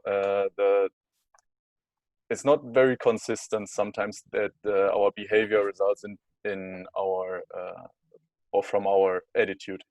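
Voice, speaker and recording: A man, a student giving a class presentation, speaking in a measured way with many filler pauses. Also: on the low side, a little mellow, no echo.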